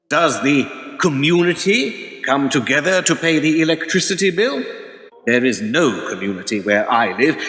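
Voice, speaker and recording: An actor playing a slick, hard-nosed investment company man making a formal, measured and pointed public speech in a radio play. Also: fairly high pitch, a bit bright. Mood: challenging, stern. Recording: echoey hall with long reverb.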